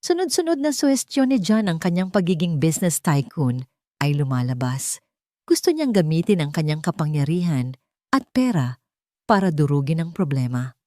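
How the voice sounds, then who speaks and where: A woman's voice: sits low, big pitch swings, moderate pace, mellow, no echo, clean studio sound. A woman reading a scripted dramatic story as an audiobook narrator.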